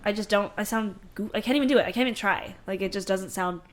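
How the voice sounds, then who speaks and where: A young woman, a podcast guest, chatting casually with lots of fillers. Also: medium pitch. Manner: chatty, many fillers and quick backchannels. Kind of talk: casual chat.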